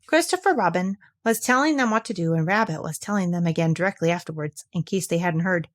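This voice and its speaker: A woman's voice: on the low side, fast. A woman reading a children's story aloud as scripted narration. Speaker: storybook reader.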